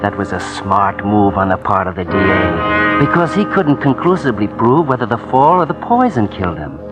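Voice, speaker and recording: Guy voicing a brooding schemer telling his story, plain mid voice, loud music under muffled old audio. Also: medium pitch, close to an everyday voice. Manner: cool, brooding narration. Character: scheming male narrator who framed his wife. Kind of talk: 1940s radio acting. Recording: dull old radio recording with music.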